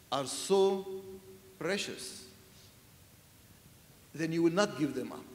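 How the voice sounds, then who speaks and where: A man answering on a festival panel, earnest and searching for words, often saying "you know". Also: on the high side. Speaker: panel speaker, church leader. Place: large festival hall with audience. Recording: echoey hall sound.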